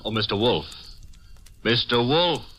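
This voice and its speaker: An actor playing a brash, wisecracking young detective's assistant with breezy, slangy patter in a 1940s radio drama. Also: male voice, mid-range voice, expressive, unhurried, a bit bright. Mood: pleading, cheeky. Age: young adult. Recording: noisy vintage radio recording with clicks.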